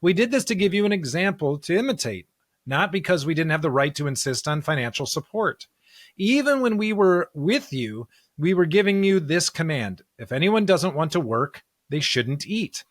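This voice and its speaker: A man's voice: on the high side, sing-song, normal speed, dry recording, clean studio sound. A man hosting a Bible podcast, explaining and reading scripture in an earnest, emphatic way. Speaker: podcast host discussing scripture.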